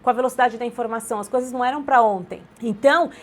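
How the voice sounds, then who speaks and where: A Brazilian woman in her thirties, an English teacher talking straight to her viewers in a chatty, animated storytelling way. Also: a little high, racing. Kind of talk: direct-to-camera sales talk.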